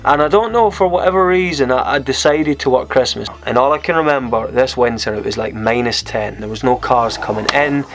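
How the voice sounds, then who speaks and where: A man's voice: mid-range voice, fairly quick, hardly any pauses, a bit bright, faint music behind. A young Scottish man vlogging, telling a personal story to camera in a candid, rambling way. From Scotland. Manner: rambling, candid, many fillers and restarts.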